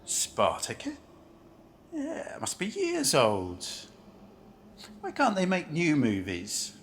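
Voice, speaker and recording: A man acting as a brusque city banker in an amateur radio play, with a very animated, swooping delivery. He grumbles and questions bluntly, and there is faint noise on the recording. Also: a little high, slow pace, heavily acted. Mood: grumbling. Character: brusque, chatty city banker waiting for a train.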